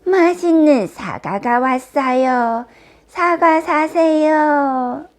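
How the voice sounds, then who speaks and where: Lady doing a wicked queen posing as old granny seller, slow singsong coaxing, pitched up, fairy tale. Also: animated, mellow, heavily acted. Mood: sing-song hawking. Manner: drawn-out, sing-song vendor calls.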